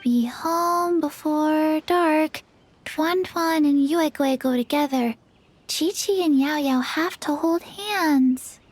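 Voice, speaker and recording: Tiny undead girl for a game, very slow, flat and halting, high-pitched, soft music under it. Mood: deadpan. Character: small undead zombie girl, forgetful and deadpan.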